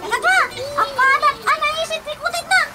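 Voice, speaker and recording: Tiny mischievous creature: piercingly high, squeaky, teasing, loud music bed, comedic radio drama. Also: female voice, fairly quick. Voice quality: squeaky, childlike.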